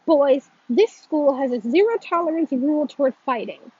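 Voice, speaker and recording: A woman's voice: sits high, animated, measured pace, dark tone, muffled recording, voice pushed up. A woman voicing a stern, formal female school principal with a firm, reproachful manner in a story reading. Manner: firm, authoritative.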